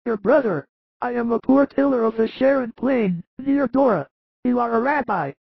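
High, creaky grieving peasant father. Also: normal speed, dry recording, dull audio, full character voice. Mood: doubtful, surprised. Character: grieving older peasant father, humble, despairing farmer.

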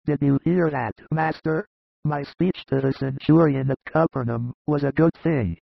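A man voicing a hearty, earnest middle-aged fisherman in an audiobook, with a creaky voice and a plainspoken way of talking. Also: on the high side, fairly even intonation, moderate pace, no echo. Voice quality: gravelly, creaky. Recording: dull lo-fi dry recording.

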